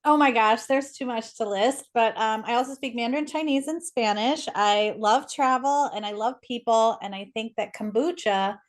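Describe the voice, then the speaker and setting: A woman's voice: on the high side, normal speed, hardly any pauses. A woman speaking as an expert interview guest, explaining things conversationally in an articulate, enthusiastic way.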